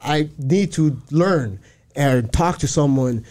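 A man's voice: a little high, slow, darkish tone. A man from Chicago, a guest talking casually in a streetwise way, swearing heavily and using lots of slang. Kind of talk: casual interview talk.

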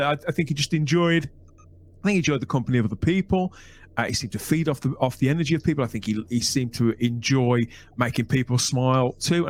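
Measured male podcast host. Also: mid-range voice. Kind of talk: podcast interview.

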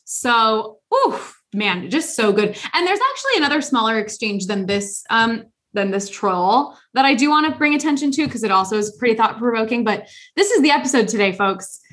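Animated woman with a high voice. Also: moderate pace, few pauses. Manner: animated, laughs often, many fillers. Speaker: podcast co-host. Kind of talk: casual podcast chat.

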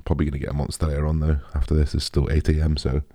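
A young British man with a deep, raspy voice, chatting casually as a podcast host in a rambling, informal way. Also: completely flat delivery, very fast, noisy background. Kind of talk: casual solo podcast chat.

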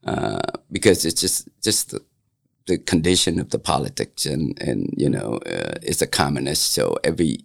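A man in his sixties from Vietnam, speaking English with a Vietnamese accent in a casual interview, halting and full of fillers and restarts. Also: extremely low pitch, big pitch swings, creaky. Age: 60s. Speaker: interviewee, local business owner. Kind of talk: casual oral-history interview.

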